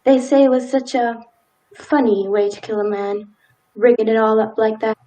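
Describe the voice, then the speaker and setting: A woman's voice: on the high side, level tone, normal speed, warm tone, lightly acted, low-quality recording. A woman in a radio play acting a timid, dutiful, law-abiding small-town wife with hesitant, folksy old-fashioned speech. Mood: uneasy.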